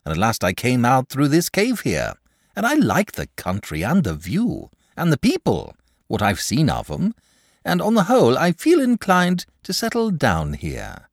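A man's voice: mid-range voice, huge pitch swings, normal speed, creaky, no room sound, clean studio sound, pitched above the performer's own voice, full character voice. A man voicing a huge, mild, lazy dragon in a storybook, with a genteel, chatty and sociable manner. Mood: contented, chatty. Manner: genteel, chatty, bashful, eager to please.

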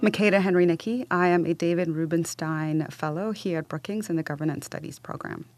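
A woman's voice: fairly low pitch, a little fast, soft background music. Earnest woman from Trinidad and Tobago. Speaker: research fellow guest. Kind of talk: self-introduction in a podcast segment.

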